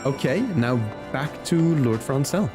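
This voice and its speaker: A man's voice: mid-range voice, a little slow. Man chatting casually over game music. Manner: chatty, easygoing, reacts aloud to the game and chat. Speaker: game streamer. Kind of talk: casual livestream chat while playing. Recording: music behind the voice.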